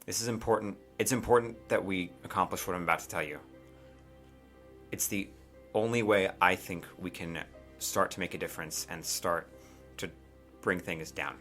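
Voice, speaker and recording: A grave male leader, voiced by a game master at the table gives orders to his crew. His voice is low and measured, pausing between phrases with solemn resolve. Faint music runs underneath. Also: fairly bright tone. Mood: grave, resolute.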